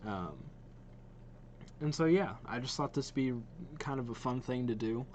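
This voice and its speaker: A man's voice: medium pitch, lively intonation, normal speed, darkish tone, a little hiss or hum. A man hosting a casual reaction vlog, talking informally and enthusiastically, with some hesitation. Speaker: video host reacting to game trailers.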